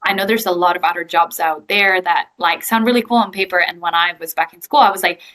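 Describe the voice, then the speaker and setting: A young woman from Italy speaks with a clear Italian accent. Her voice sits a little low but has a bright, forward edge. She talks in a relaxed, chatty way, filling pauses with ums and likes. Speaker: guest, growth equity investor. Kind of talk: casual interview answers.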